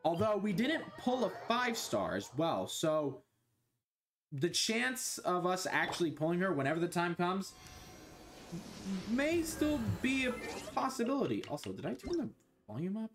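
A man's voice: high-pitched, sing-song, measured pace, music in the background. A young man streaming a video game from his bedroom on a headset mic, rambling, scattered and swearing a lot. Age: young adult. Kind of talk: casual gameplay commentary.

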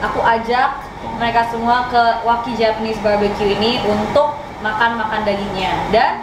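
A young woman with a fairly high voice hosts a casual vlog around a restaurant table. The room is echoey, with loud noise behind her. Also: steady tone, moderate pace. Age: 20s. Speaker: host. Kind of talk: casual vlog chat with friends. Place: private dining room in a restaurant.

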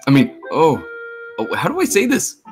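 A man chatting casually with friends as an amateur voice actor, excitable and prone to swearing. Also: fairly high pitch, unhurried, soft background music. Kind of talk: casual chat with friends during game dubbing.

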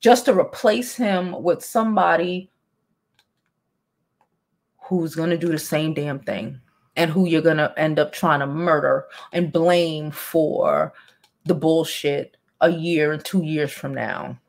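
Blunt Brooklyn woman vlogger, lowish voice. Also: takes it slowly, gravelly. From Brooklyn, New York. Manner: blunt, profane, frequent sighs and asides. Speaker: celebrity news vlogger. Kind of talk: casual commentary, reading news aloud. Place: home studio with neon sign, indoors.

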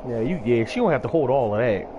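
A man's voice: medium pitch, expressive, quick pace, dull audio. Slangy man streaming a game, slightly scratchy. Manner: slangy, profane, talking to viewers. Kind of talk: casual let's play commentary with slangy banter, reading dialogue aloud. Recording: game music behind the voice.

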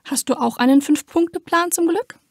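A woman's voice: very high-pitched, normal speed, creaky, no room sound, studio quality. A woman interviewer putting prepared questions to a guest.

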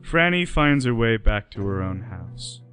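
A man's voice: fairly low pitch, sing-song, unhurried, a bit bright, faint music behind. A man giving scripted narration for a radio play.

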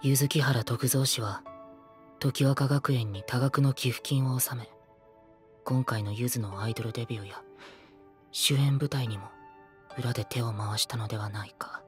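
A man's voice: mid-range voice, steady tone, normal speed, pauses often, music in the background, lightly acted. A man playing a bitter, self-deprecating teenage boy idol and former child star in a Japanese audio drama. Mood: shaken, bitter. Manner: cheeky, self-deprecating, theatrical.

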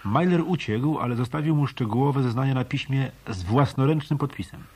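An actor playing a sardonic, blunt hotel detective in a radio play. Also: male voice, medium pitch, a little fast, background noise, lightly acted. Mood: matter-of-fact. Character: weary, scornful male hotel detective.

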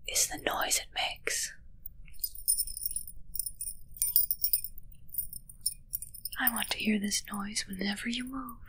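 A young woman acts out a possessive, haughty witch in an ASMR roleplay. Her whisper is bright and fairly high, delivered right up close to the mic. She takes her time, teasing her familiar with hushed control. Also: breathy edge, exaggerated voice. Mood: possessive, coy. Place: small dark draped room indoors. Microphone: close ASMR microphone.

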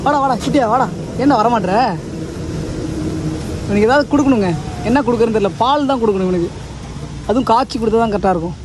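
A man's voice: quick pace, pauses often. A young man chatting casually to his viewers on a motorcycle travel vlog, talking to them directly, recorded outdoors by a roadside. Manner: chatty, addresses viewers directly, calls to animals in a high sing-song. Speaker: motovlogger. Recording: wind and traffic noise outdoors.

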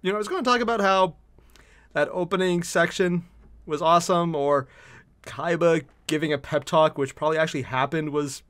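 A young man giving casual, excitable, profane reaction commentary as a video host at home. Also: a little high, a little fast. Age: young adult. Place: small furnished room at home, indoors.

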